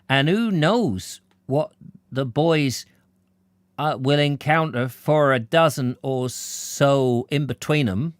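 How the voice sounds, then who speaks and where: A man voicing a hearty young rustic village lad with a broad country dialect, speaking loud and hopeful. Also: fairly high pitch, no room sound, clean studio sound, pitched above the performer's own voice.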